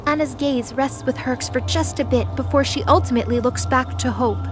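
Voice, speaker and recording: Female narrator for scripted audio drama; slightly high voice, music under the read. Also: moderate pace.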